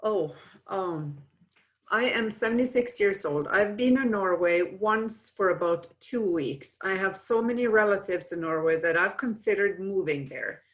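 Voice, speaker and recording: A woman's voice: medium pitch, a little slow. A woman moderating a webinar, steadily reading out audience questions and adding short explanations, over a video call.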